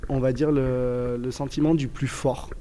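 A young man in his twenties with a southern French accent, answering questions in a relaxed interview. His voice is mellow and mid-pitched, and he talks haltingly, with frequent fillers and restarts. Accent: southern French, Toulouse area. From southern France. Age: 20s. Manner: hesitant, many fillers and restarts. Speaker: guest. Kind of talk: casual interview conversation.

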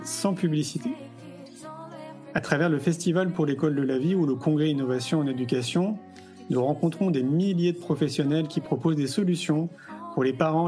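A man's voice: fairly high pitch, mellow. A man reading a scripted podcast outro as the host. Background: plucked guitar music.

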